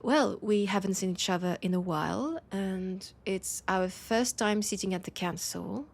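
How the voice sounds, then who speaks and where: Slow, breathy French-accented vampire woman, acted. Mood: playful, wry. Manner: slow, low-key teasing with breathy, near-whispered phrases. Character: poised, teasing female vampire, wary old rival. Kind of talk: intimate audio roleplay acting.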